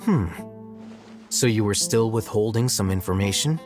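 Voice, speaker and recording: A detached male scholar, voiced by a man, explains things in a low, even, analytical tone. Every sentence sounds like a measured lecture. Faint game music sits underneath. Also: close to an everyday voice. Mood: cool. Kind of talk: video game cutscene. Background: soft game music.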